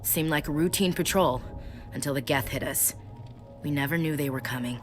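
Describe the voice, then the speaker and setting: A woman's voice: low-pitched, moderate pace, music in the background, natural voice. A woman playing a dutiful, wary young soldier in a video game, speaking in a plain, matter-of-fact way. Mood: somber.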